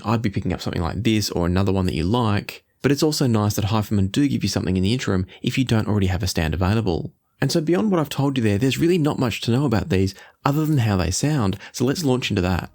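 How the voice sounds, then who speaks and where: A man around his forties reviewing audio gear to camera in a measured, explanatory way. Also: lowish voice, a little mellow. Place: small treated home studio indoors.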